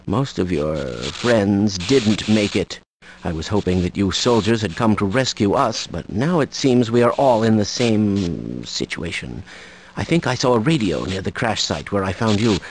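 An anxious polite old scientist in a game, lowish voice. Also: male voice, lively intonation, a little slow, slight background noise, poor audio. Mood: concerned, regretful. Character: anxious, courteous older male scientist. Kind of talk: video game voice acting.

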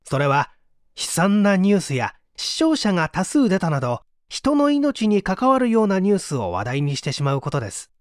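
A narrator reads a nonfiction book aloud, explaining point by point. The delivery is scripted and clearly articulated, with lively swings in pitch. The recording is dry and close, with no room sound. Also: moderate pace, no room sound. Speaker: audiobook narrator.

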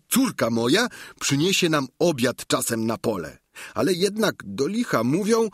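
Man putting on Sancho, a high chatty squire. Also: slightly rough, no echo, studio quality, full character voice. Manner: rambling, full of folk proverbs. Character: chatty, simple peasant squire, middle-aged man. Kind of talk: audiobook character reading.

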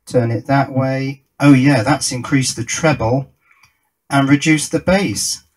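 A middle-aged man presents as a hobbyist at a workbench, in an average-pitched voice. His talk is casual and hands-on. He thinks aloud and puts questions to himself as he goes. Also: slow pace, slightly rough, poor audio. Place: indoor workbench.

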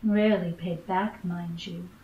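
Femme fatale, female actor: sly, teasing, jaded, unhurried, noir radio play, roomy distant-mic sound. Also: fairly low pitch, steady tone, phone-quality sound. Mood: weary, knowing. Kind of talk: noir radio drama acting. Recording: echoey room, distant microphone.

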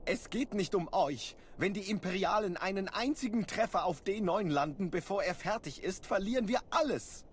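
A worried colony scientist in a game cutscene. His voice is high for a man, earnest and insistent, with faint noise behind. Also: animated, normal speed, few pauses. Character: anxious, earnest male colony farm researcher. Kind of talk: dubbed video game cutscene acting.

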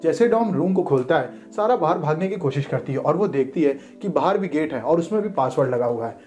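Guy narrating a movie recap, mellow voice a bit on the high side, music in the back. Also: lively intonation, a little fast. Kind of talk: scripted narration. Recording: music behind the voice. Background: background music.

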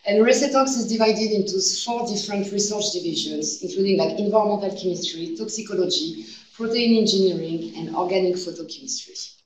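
A woman's voice: mid-range voice, brisk, slight background noise. A woman in her thirties with a French accent, giving an academic seminar talk and leaning on "like" as a filler. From France. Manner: non-native phrasing with frequent "like" fillers. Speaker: guest researcher presenting a seminar. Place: seminar room. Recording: echoey room, distant mic.

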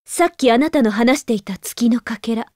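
A woman's voice: on the high side, normal speed, darkish tone, dry recording, clean studio sound, lightly acted. A voice actress playing a stern, resolute young noblewoman in an anime game, haughty and commanding.

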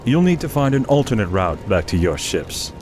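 An actor playing a stern royal envoy in a fantasy game, formal and official in manner. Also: male voice, lowish voice, big pitch swings, normal speed, over music. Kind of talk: fantasy video game cutscene acting.

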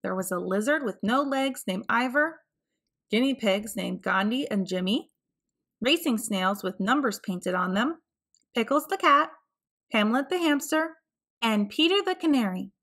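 Children's storybook narrator: woman, slightly high voice, expressive read-aloud delivery. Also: measured pace. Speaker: children's picture book reader.

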